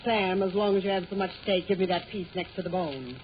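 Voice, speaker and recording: A woman's voice: lowish voice, fairly quick, natural voice. A woman playing a curt, demanding older aunt in a 1940s radio sitcom. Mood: curt, disapproving. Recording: muffled, noisy 1940 radio recording.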